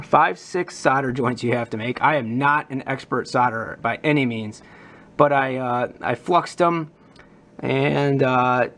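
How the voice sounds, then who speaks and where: A man's voice: mid-range voice, a bit bright. A man giving a casual hands-on tutorial as a hobbyist, unscripted and thinking aloud as he works. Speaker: hobbyist demonstrating a prop modification.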